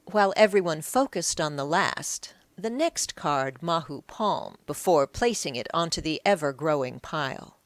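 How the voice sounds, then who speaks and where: A woman narrates a story for an audiobook. Her voice sits a bit low and moves with lively intonation, recorded very dry and close. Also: a little slow, few pauses, no echo.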